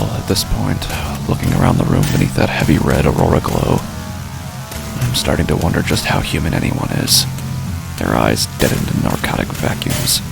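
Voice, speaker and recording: A man performs a brooding, menacing street tough narrating a horror audio drama. His voice is extremely low and thick with gravelly fry. He speaks in deliberate, halting phrases with a nihilistic edge, over prominent music. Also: heavily acted. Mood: menacing, morbid. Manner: brooding, halting, deliberate, with heavy pauses and sighs. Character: menacing, drugged, nihilistic male street tough with violent urges. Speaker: horror fiction podcast narrator. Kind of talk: first-person dramatic horror monologue. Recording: music under the voice. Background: background music.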